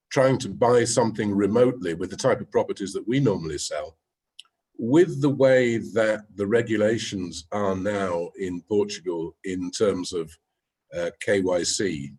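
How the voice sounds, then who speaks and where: A man speaking on a webinar panel as a real estate agent, measured, often checking in with "yeah?". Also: fairly low pitch, darkish tone, husky. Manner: measured, with frequent "yeah?" tags. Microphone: video call.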